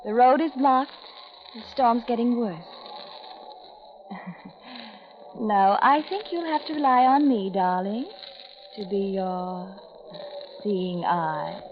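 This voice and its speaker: A sugary, cruel schemer. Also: female voice, mid-range voice, animated, slow, long pauses. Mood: sweetly menacing. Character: cruel, scheming woman toying with her blinded friend. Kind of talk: 1940s radio acting. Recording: lo-fi old radio recording.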